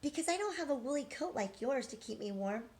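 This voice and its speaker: Storybook butterfly, male, carefree and matter-of-fact, highish voice, read by a woman. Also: animated, fairly quick, darkish tone. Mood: polite, explaining.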